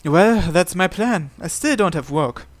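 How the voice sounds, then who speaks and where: A man's voice: high-pitched, lively intonation, measured pace. A man reading visual novel text aloud as a narrator, with game music behind him.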